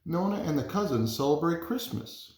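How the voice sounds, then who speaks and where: A man's voice: on the high side, expressive, moderate pace, darkish tone, pitched above the performer's own voice. A man voicing an anxious, pleading young girl from a children's storybook. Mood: worried. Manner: worried, pleading. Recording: roomy, echoey indoor sound.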